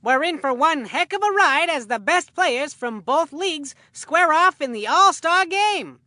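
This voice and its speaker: A woman voicing an excitable, food-loving boy baseball commentator in a cartoon game, with a folksy, earnest showman delivery. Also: high voice, unhurried, no room sound, studio quality, exaggerated voice. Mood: excited announcing.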